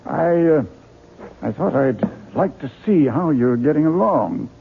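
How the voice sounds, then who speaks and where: An actor playing a hearty, persuasive book publisher in a radio mystery drama, coaxing and insistent. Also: male voice, fairly high pitch, animated, unhurried, vocal fry, background noise. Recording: tinny 1970s radio broadcast.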